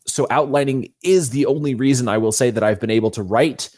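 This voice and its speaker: A man's voice: mid-range voice, fairly quick, darkish tone. A man speaking as an author guest in an interview, explaining his method in a casual, matter-of-fact way. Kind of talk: conversational explanation of own method.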